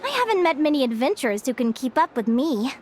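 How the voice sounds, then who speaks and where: An actress playing a cheerful, curious young woman who works as a guild advisor, talking in a bubbly, animated way in a video game. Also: high-pitched, quick pace, a little hiss or hum. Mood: lecturing. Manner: bubbly and animated, given to giggles. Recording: game audio with clear music. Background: game music.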